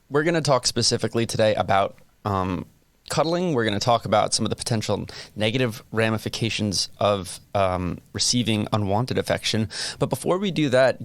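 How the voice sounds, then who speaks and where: A man in his thirties hosting a podcast interview, relaxed and joking, with plenty of ums. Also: fairly low pitch, no room sound. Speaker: podcast host, academic.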